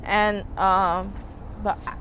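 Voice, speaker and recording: A woman's voice: medium pitch, flat delivery, takes it slowly, fairly bright tone, lo-fi sound. A young woman from Senegal, Wolof her first language, chats easily and loosely, with fillers, on a podcast recorded outdoors. Faint traffic and city noise hum behind her voice. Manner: chatty, filler-heavy, laughing. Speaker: guest. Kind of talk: casual chat.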